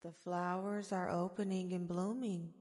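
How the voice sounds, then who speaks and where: A woman guiding a meditation in a soft-spoken ASMR style, with soothing, gentle, deliberate phrasing. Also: fairly low pitch, flat delivery. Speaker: guided meditation host. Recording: long reverberant echo.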